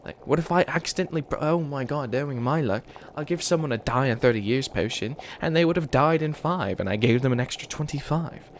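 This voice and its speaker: A man's voice: mid-range voice, lively intonation, fairly quick, few pauses, a little hiss or hum, lightly acted. A man voicing an ancient bunny witch, a failed villain who is flustered and kindly, rambling and stammering through a comedic ASMR roleplay. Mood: wistful, exasperated. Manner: rambling, stammering, apologetic, trails off. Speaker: mentor to a new apprentice.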